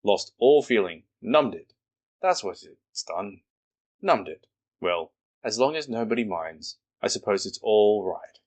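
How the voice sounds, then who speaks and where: A man voicing Eeyore, a gloomy old male donkey who grumbles and complains slowly. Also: on the low side, animated. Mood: grumbling.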